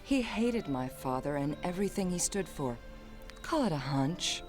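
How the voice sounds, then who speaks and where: An actress playing a poised, vengeful young heiress in a video game, cool and dry in delivery. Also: female voice, low voice, very animated, moderate pace, music in the background. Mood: cold, determined. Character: poised, sardonic, vengeful young heiress, murdered man's daughter. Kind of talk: video game cutscene acting.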